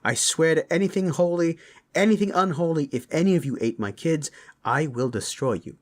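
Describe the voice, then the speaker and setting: A man's voice: a little high, very animated, unhurried. A man playing a hot-tempered, protective beast father in a fantasy audio drama, speaking in a blunt, threatening way. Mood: furious threatening.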